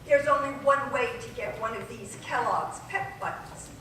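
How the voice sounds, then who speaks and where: A woman's voice: a little high, moderate pace, slight background noise. A woman playing an earnest old-time radio commercial announcer in a stage show. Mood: chipper, persuasive. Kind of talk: 1940s radio acting. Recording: echoey distant hall recording, loud music.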